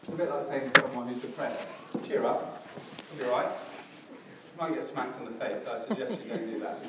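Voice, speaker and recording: A middle-aged man with a slightly hoarse, crackly voice, preaching a casual church sermon in a relaxed, self-deprecating way with many fillers and restarts. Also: mid-range voice, moderate pace. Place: church hall, in front of a congregation. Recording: distant, very echoey hall with background noise.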